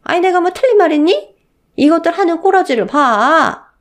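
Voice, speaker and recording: A woman plays a domineering older mother-in-law in a story drama. Her scolding is shrill and scornful, swinging widely in pitch with a haughty sneer. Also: lively intonation, normal speed. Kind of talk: Korean melodramatic story acting.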